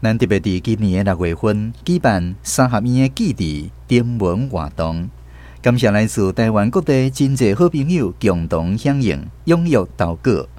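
A man's voice: on the low side, expressive, moderate pace, darkish tone. A male radio host with a Taiwanese accent reading a scripted announcement to listeners.

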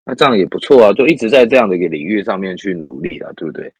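A man's voice: lowish voice, animated, racing, creaky, darkish tone, breathy edge, no echo. A man hosting an interview, asking friendly questions with many back-channel hums. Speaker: host. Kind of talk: casual interview chat.

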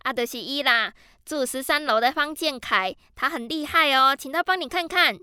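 An actress playing a nosy, chatty middle-aged neighbor who sits on the building committee, talking with a Taiwanese Mandarin accent and lots of drawn-out fillers. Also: female voice, sits high, sharp tone, no echo, studio quality. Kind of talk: radio drama acting.

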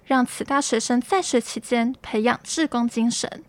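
Slightly high Taiwanese woman, dry recording. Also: moderate pace, dry recording. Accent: Taiwanese Mandarin. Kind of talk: scripted narration.

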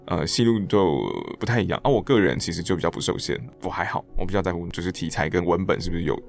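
Taiwanese guy, deep voice, talks fast n expressive, casual interview chat. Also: gravelly, faint music behind. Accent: Taiwanese Mandarin. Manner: animated, slips into mock quotes to illustrate points. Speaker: interview guest, stage director and voice actor.

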